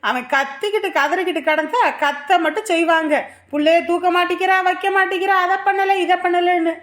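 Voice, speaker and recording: A high, echoing voice for a put-upon young mother acted by a woman in a family drama. She grumbles sarcastically about her lot. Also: fairly even intonation, a little fast, a bit bright. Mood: sarcastic grievance. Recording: roomy echo on the voice.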